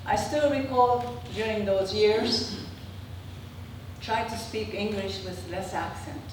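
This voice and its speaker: An older woman from Japan with a Japanese accent and native Japanese, giving a prepared autobiographical slide talk in measured, halting phrases. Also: medium pitch. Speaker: presenter, traditional Japanese dancer. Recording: echoey room, distant mic, clear background noise.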